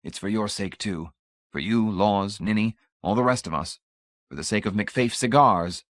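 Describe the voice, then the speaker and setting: A man playing a curt, tense middle-aged man who answers tersely in a low voice. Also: moderate pace, a bit bright, no echo, clean studio sound, lightly acted.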